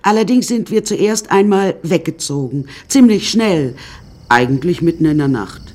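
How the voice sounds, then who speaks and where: A woman's voice: on the low side, lively intonation, normal speed, a little mellow. An actress playing a vain, self-dramatizing woman artist who pompously narrates her life to listeners in a radio play. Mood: storytelling.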